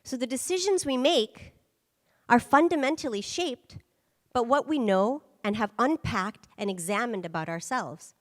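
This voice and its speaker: A woman's voice: a little high, unhurried, long reverb tail. A woman giving a conference presentation, speaking emphatically and checking in with rhetorical questions. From United States. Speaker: guest speaker.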